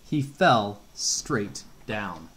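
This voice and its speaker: Middle-aged man, slow expressive storybook read-aloud with questions to a child, animated pitch. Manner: unhurried, expressive, asks questions as he reads. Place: home kitchen.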